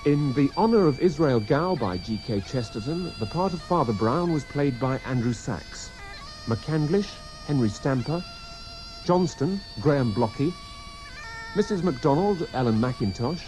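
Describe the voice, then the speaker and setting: A man's voice: medium pitch, animated, measured pace, darkish tone, a little hiss or hum, low-quality recording. A man announcing scripted radio drama credits in a formal broadcast manner.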